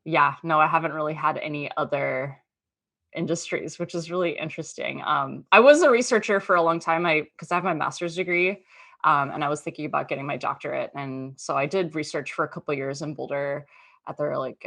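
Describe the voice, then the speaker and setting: A woman's voice: sits low, fairly quick, gravelly, fairly bright tone. A woman in her thirties from Australia, a guest chatting casually in an interview, full of "um" and "like". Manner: chatty, lots of fillers and "like". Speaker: podcast guest.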